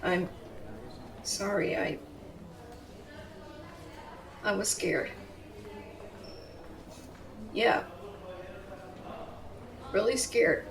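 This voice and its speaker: Woman doing a tsundere girlfriend for audio roleplay, really slow talking, blunt but tender n protective, bit far from the mic. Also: mid-range voice, gravelly, noisy background. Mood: tender, vulnerable. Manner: emotional, pleading, bluntly affectionate. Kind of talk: dramatic roleplay audio, intimate second-person acting.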